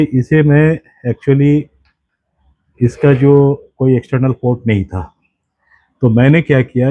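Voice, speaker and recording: An Indian-accented man presents a hands-on product demonstration in a halting, explanatory way, often repeating himself. His pitch is average for a man. The sound is dry and close, with a dull lo-fi tone. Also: no echo. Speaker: presenter of a radio hobby channel.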